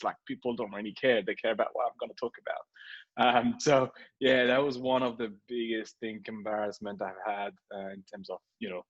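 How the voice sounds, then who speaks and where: A man's voice: mid-range voice, a bit bright, dull audio. A man with a French accent, a non-native English speaker, talking as a guest on a video call, hesitant with many fillers and restarts. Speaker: guest entrepreneur. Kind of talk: casual video call interview.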